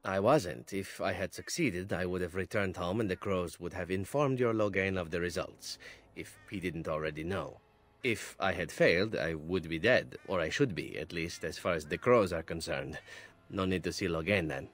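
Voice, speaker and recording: An actor playing a charming, flippant elven assassin in a video game, with a suave, wry, theatrical delivery. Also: male voice, low-pitched, normal speed, fairly bright tone. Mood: wry, candid. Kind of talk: video game character dialogue.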